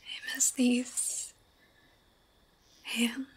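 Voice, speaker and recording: Woman voicing a wry lovesick young vampire, whispers breathy in a flat tone, for an ASMR roleplay. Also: high-pitched, extremely slow, full character voice, poor audio. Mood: wistful. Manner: soft whispering, dry teasing.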